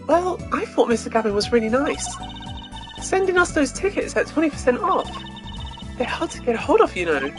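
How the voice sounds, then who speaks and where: A woman voicing an excitable, starry-eyed teenage girl music fan in a video game, gushing and exclaiming. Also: sits high, brisk, pitched above the performer's own voice. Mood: excited.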